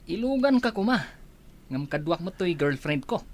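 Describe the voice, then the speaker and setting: A woman's voice: on the low side, big pitch swings, moderate pace. A woman playing a breezy, casual teenage schoolmate in a village comedy radio drama.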